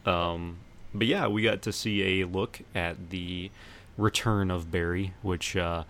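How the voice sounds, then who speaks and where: Low-voiced man hosting a podcast. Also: fairly even intonation, slow, a bit bright. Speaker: podcast host. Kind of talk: casual podcast chat. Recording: noticeable background noise.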